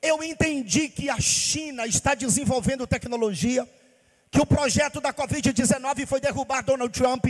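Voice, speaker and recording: A pastor from Bahia preaching with passion, asking rhetorical questions in a sing-song cadence. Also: male voice, extremely high pitch, normal speed, in a large hall. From Bahia, Brazil. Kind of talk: sermon.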